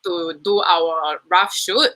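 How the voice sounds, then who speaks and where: A woman's voice: on the low side, fairly even intonation, takes it slowly, sharp tone. A young woman with a Singaporean accent, a student film producer answering interview questions in a rambling, self-correcting way.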